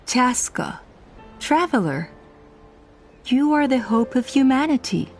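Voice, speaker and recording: Proud, scheming female clan elder, high-voiced. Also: animated, exaggerated voice. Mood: self-righteous. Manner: measured, persuasive, formal speechmaking. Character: proud, scheming clan elder woman, zealous and self-righteous. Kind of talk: scripted video game voice acting. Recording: music under the voice.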